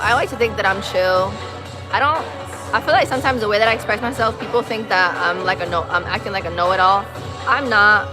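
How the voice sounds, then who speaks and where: A young American woman with a light Puerto Rican accent, chatting casually on a livestream in an expressive, slangy, opinionated way. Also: mid-range voice. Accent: Puerto Rican-tinged American. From Massachusetts, USA. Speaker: live streamer. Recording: music playing behind.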